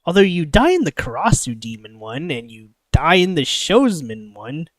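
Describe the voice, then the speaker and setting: Animated game streamer reading aloud. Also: medium pitch, moderate pace, no echo. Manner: expressive, chatty, breaks off into rambling asides. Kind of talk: live playthrough, narration with character voices and asides.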